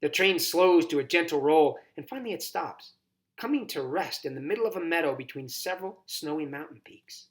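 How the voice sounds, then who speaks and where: A man narrating a first-person children's story as a volunteer reader, expressive and scripted. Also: fairly high pitch, fairly quick.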